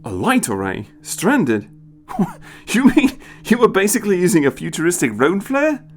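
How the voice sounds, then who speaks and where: Storybook role: curious, wry adult man acted by the narrator, slightly raised pitch, lively swings, music behind. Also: moderate pace, exaggerated voice. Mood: scoffing, amused. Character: wry, curious, good-humoured adult male companion. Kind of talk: dramatized storybook reading.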